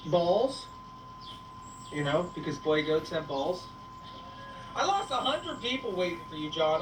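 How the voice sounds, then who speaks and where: Lively woman livestreaming from a noisy farm. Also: fairly low pitch. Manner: playful, teasing, talks to viewers and animals. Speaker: livestream host on a goat farm. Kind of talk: casual livestream chat. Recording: echoey, noisy farm livestream, distant mic.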